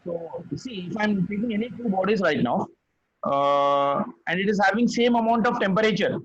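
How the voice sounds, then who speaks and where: A man's voice: high-pitched, expressive, normal speed, slightly rough, highs cut off. A man tutoring physics, patient, repeating key phrases and checking that his student follows. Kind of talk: one-on-one tutoring session.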